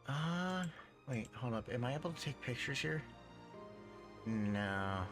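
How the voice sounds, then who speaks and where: A man's voice: medium pitch, animated, unhurried, fairly bright tone. A young man giving casual, slangy live commentary as he streams a video game. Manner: laid-back, slangy. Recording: game music behind the voice.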